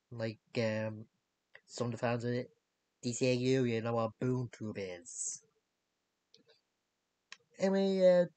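A young adult man giving a casual recap in a halting, disjointed way, searching for words. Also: mid-range voice, darkish tone. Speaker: reviewer of animated series. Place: small cluttered bedroom, indoors.

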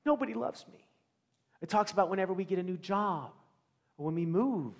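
A middle-aged American man preaching a conversational sermon, with animated storytelling, rhetorical questions and dramatic pauses. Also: high-pitched, creaky, lo-fi sound. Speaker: pastor. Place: large church sanctuary, indoors, before a congregation. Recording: reverberant church hall.

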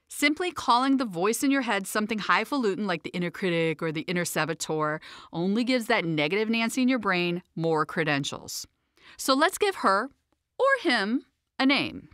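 A woman's voice: a little high, sharp tone, no echo, studio quality. A woman narrating her own self-help audiobook as a coach, in a sassy, emphatic, conversational way.

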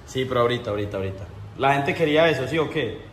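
A man's voice: medium pitch, animated, racing, slight background noise. A young man from Colombia, chatting and joking on a live stream as he shows around his house at night. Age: young adult. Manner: joking, teasing, playful. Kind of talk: casual live-stream chat. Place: house at night, indoors and outdoors.

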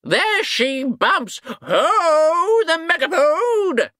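A man voicing an excitable male wizard in an audiobook, giving drawn-out hunting cries. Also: dry recording, clean studio sound, heavily acted. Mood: excited. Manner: drawn-out, high whooping cries.

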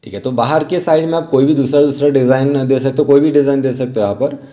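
An Indian man giving an informal step-by-step tutorial voice-over as an instructor, halting and repeating himself as he explains. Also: mid-range voice, mellow. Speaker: rangoli art instructor.

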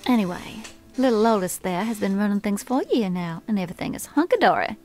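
A woman's voice: mid-range voice, animated, quick pace, a little mellow, faint music behind, close to an everyday voice. A woman playing a flirty belle. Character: flirtatious Southern belle woman, sugary and teasing. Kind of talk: video game character dialogue.

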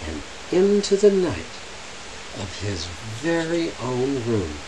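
Very slow, expressive male storybook reader. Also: mid-range voice. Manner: dramatic, drawn-out phrasing with pauses. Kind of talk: children's picture book read-aloud. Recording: hissy, noisy home recording.

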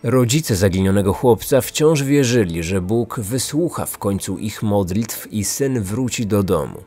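Male podcast narrator, lowish voice, scripted true-crime read over faint music. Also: lively intonation, unhurried, dark tone, slightly rough.

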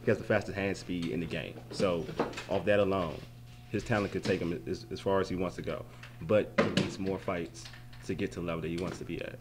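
Deep-voiced man giving fight analysis. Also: fairly quick, heavy background noise. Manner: animated, repeats words while thinking. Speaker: boxing analyst guest. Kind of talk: post-fight interview. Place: event backdrop at an arena venue, indoors. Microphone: handheld mic.

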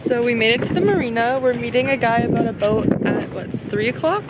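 A woman's voice: fairly high pitch, quick pace, very noisy. A young woman chatting casually to her vlog camera. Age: young adult. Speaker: vlogger. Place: car, marina outdoors, bedroom. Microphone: handheld camera at arm's length. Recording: loud music over handheld vlog audio.

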